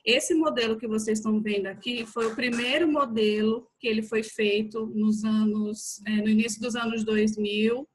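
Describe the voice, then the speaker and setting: A Brazilian woman with a fairly high voice and little rise and fall in pitch gives an online class. She speaks informally, addressing her listeners directly like colleagues. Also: fairly even intonation, moderate pace, hardly any pauses, poor audio. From São Paulo state, Brazil. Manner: conversational, addresses listeners familiarly. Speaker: physiotherapist teaching an online class.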